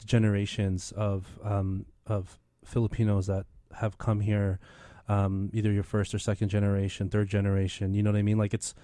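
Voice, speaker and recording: A man's voice: on the low side, monotone, moderate pace, dark tone, dry recording, studio quality. A man hosting a casual radio interview in an enthusiastic, encouraging way. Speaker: radio show host.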